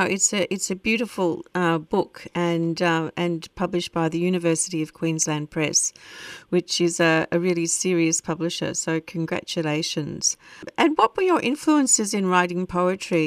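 A woman radio interviewer with a lowish voice asks her questions slowly and appreciatively. Her sound is close and dry, without room echo.

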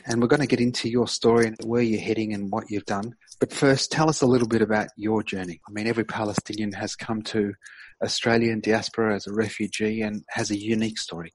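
A man's voice: lowish voice, breathy edge, low-quality recording. A man hosting a community radio show, introducing his guest with enthusiasm and asking questions in a conversational way. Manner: conversational, some restarts. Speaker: radio host.

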